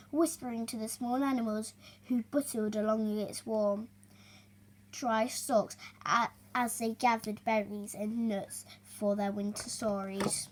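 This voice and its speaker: High-voiced young girl reading slowly. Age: child. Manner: expressive, halting read-aloud delivery with stumbles. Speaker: young reader hosting a story video. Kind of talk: reading a children's storybook aloud, with chatty asides.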